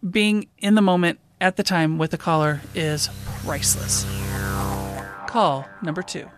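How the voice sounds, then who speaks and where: A woman's voice: lowish voice, a little slow, music in the background. A woman who works as a police dispatcher and hosts a show, talking through cases in a conversational commentary.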